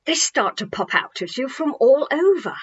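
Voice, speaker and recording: Storybook role: eager, confident man disciple, read by a woman, animated explainer, slightly dull recording. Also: high-pitched, moderate pace, breathy edge, voice pushed up, heavily acted. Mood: excited.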